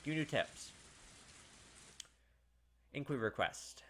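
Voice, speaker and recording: A man's voice: medium pitch, expressive, slow pace, fairly bright tone. A man giving casual gaming commentary and reading on-screen text aloud, talking through his theories in a puzzled way. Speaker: gaming video host. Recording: clear background game noise.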